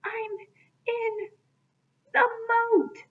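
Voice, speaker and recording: A woman's voice: very high voice, little pitch movement, drawn-out words, voice pushed up, full character voice. A woman reading aloud and voicing a frightened young boy who gasps urgent lines, heard through a tinny, phone-like recording. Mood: panicky. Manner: urgent, gasping. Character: young boy, anxious, out of breath.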